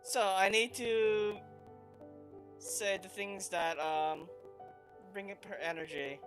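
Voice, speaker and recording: A woman's voice: medium pitch, fairly even intonation, takes it slowly, music in the background, low-quality recording. A woman streamer chatting casually over a game, laughing often and reacting to the scenes. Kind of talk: casual gameplay commentary.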